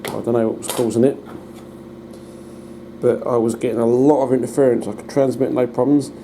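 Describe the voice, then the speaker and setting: A British man giving a casual hands-on demonstration as a hobbyist, in a halting, matter-of-fact way. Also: medium pitch, fairly even intonation, dark tone, poor audio. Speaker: hobbyist presenter. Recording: noticeable background noise.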